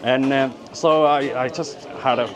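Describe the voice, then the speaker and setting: Male travel vlogger from Birmingham, UK. Casual, halting delivery with repeats, recorded amid clear crowd bustle. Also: medium pitch, steady tone, low-quality recording. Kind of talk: casual vlog chat and narration. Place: out and about in a city. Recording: busy street and cafe chatter behind.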